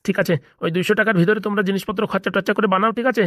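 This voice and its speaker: Man playing a rapid-talking cartoon gangster boss. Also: sits high, fairly even intonation, a bit bright, no room sound, studio quality. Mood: bossy, businesslike. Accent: Bengali. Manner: bossy, businesslike, repeatedly seeking agreement. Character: blunt, self-important small-time gangster boss, a father. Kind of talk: comedy cartoon dubbing.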